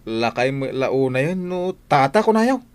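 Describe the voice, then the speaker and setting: A man plays a lazy older village cleaner in an Ilocano comedy radio drama. He has an Ilocano accent and a middling pitch. His way of talking is whiny and exclaiming, always ready with an excuse. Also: very animated, moderate pace, clear, resonant tone, dry recording, close to an everyday voice. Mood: sheepish, fawning.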